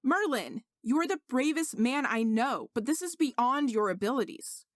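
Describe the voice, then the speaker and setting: A woman narrator plays a young king, fond but doubtful and worried. The voice sits fairly high and sounds dry, with an earnest, exasperated audiobook delivery. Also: expressive, moderate pace, no echo.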